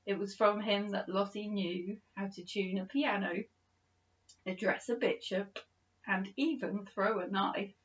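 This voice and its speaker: A woman's voice: medium pitch, measured pace. Woman narrating a bedtime story, slightly muffled. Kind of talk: expressive scripted children's story narration.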